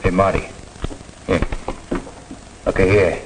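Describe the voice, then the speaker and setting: An actor playing a sly young drug pusher in a 1950s educational film, with a coaxing, colloquial delivery. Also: male voice, low-pitched, steady tone, takes it slowly. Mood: sly, goading. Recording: old film dialogue, faint noise.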